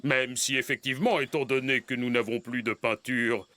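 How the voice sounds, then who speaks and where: A man voicing a kindly, wise grown-up cartoon animal who explains things calmly and patiently in a French cartoon dub. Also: medium pitch, moderate pace, lightly acted.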